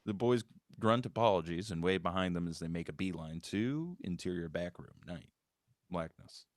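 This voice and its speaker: A man's voice: low voice, lively intonation, normal speed, dry recording, studio quality. A man reading out screenplay stage directions as a scripted narration at a table read.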